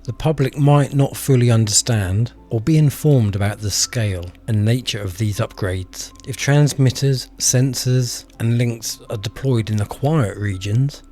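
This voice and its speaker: A man presenting a scripted video commentary, speaking in a measured, earnest way straight to his viewers. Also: mid-range voice, dark tone, faint music behind. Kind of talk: scripted explainer narration with direct address to viewers.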